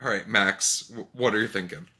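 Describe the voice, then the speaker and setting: A man hosting a casual, comedic podcast in an animated, informal and sometimes profane way. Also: on the low side, moderate pace, breathy edge. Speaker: podcast host.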